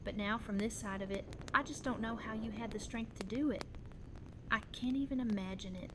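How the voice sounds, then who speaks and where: A woman's voice: on the high side, a little fast, close to an everyday voice. A woman playing a tender, resolute young widowed mother in an audio drama, speaking in an earnest, conversational way. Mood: wistful. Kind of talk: fan audio drama dialogue. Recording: clear background noise and ambience.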